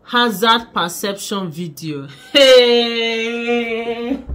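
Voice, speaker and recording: A young woman with a Nigerian accent, telling a story to camera in a small bedroom in an animated, dramatic way. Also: fairly high pitch, extremely slow, faint music behind. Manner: animated, dramatic, laughing, mixing in pidgin. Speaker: vlogger. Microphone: camera in front at desk distance.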